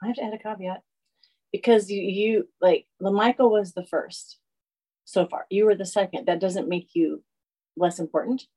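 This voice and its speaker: A woman's voice: medium pitch, fairly even intonation, moderate pace, mellow. Woman podcast host, enthusiastic and chatty, mid-range voice. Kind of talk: casual interview chat. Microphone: video call.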